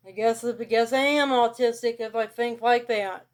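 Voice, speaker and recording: Flat-voiced country woman vlogger. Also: on the high side. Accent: rural American country. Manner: flat, deliberately robot-like delivery. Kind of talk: casual direct-to-camera monologue.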